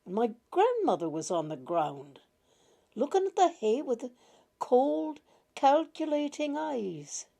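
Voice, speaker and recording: A woman gently reading a literary short story aloud in a measured, deliberate way. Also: high voice, sing-song, creaky. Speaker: reader of short stories.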